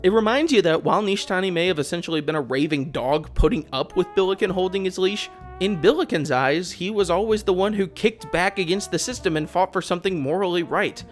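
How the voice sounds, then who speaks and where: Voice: mid-range voice, a little fast, hardly any pauses, faint music behind. A video essayist giving sarcastic, exasperated, opinionated commentary on a video game. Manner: sarcastic, exasperated, swearing rant. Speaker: video essayist, channel host.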